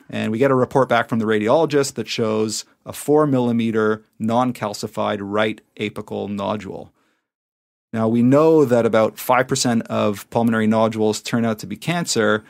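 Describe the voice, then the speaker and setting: Guy w/ lowish creaky voice, podcast host, recaps stuff and asks questions, explainy. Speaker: podcast host, emergency physician. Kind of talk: conversational medical interview, summarizing and questioning.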